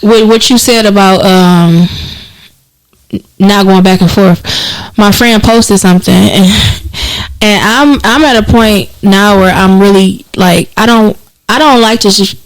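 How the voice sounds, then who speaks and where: A woman's voice: medium pitch, unhurried, poor audio. A woman hosting a podcast, telling a story in a chatty, casual way. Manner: supportive listener, chatty storyteller. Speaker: podcast host.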